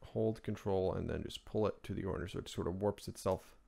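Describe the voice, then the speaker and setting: Male tutorial host from Norway, non-native speaker, lowish voice, informal and rambling screen-recording narration. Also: a little fast, vocal fry, mellow. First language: Norwegian. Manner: rambling, offhand, informal asides. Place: indoors at a computer, screen recording voice-over.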